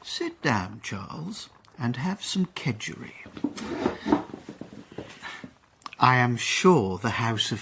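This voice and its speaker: A husky, blustering British banker. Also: male voice, medium pitch, exaggerated voice. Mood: ingratiating. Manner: blustering, incredulous. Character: wealthy city banker, patronising master, easily astonished. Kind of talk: radio period drama acting.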